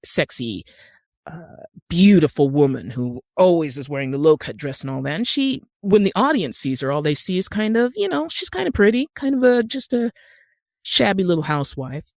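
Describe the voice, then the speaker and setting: Low-voiced middle-aged American woman, dry recording. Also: darkish tone, no echo. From Utah, United States. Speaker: podcast guest, screenwriter and actor. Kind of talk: conversational interview storytelling.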